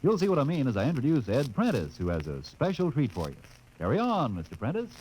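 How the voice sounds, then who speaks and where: Highly animated 1950s radio announcer, male. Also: fast talker. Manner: chatty, polished sales patter. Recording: noisy old radio recording.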